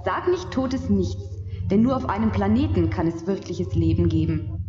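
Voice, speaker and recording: A woman plays a ship's biologist in a space radio play. She is analytical and thoughtful, mid-pitched. The sound is muffled and echoey, music behind. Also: expressive, moderate pace, lightly acted. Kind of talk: science fiction audio drama acting.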